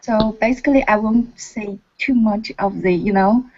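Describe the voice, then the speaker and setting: A woman from China, a PhD student, introducing herself in an online meeting, earnest and halting with many fillers. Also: mid-range voice, a little mellow. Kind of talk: casual online meeting chat. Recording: muffled online call audio.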